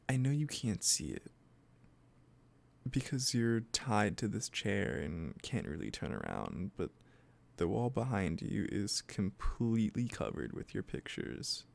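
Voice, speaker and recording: A man playing an obsessive, doting yandere kidnapper in an intimate roleplay audio, gushing and coaxing with a sweetly menacing edge and halting asides. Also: lowish voice, gravelly, darkish tone, exaggerated voice. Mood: gentle, confessional. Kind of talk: scripted roleplay audio, intimate one-sided dialogue.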